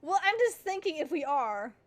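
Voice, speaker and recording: A woman's voice: high voice, sing-song, moderate pace, soft background music. A woman giving casual, informal playthrough commentary, thinking aloud as she reacts to a game. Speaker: gamer reacting to cutscenes.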